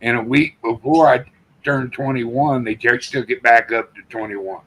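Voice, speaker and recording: A man's voice: mid-range voice, moderate pace, a bit bright, husky, poor audio. An older man from Texas, chatting casually on a live stream and telling rambling folksy stories with quoted dialogue. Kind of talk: casual chat.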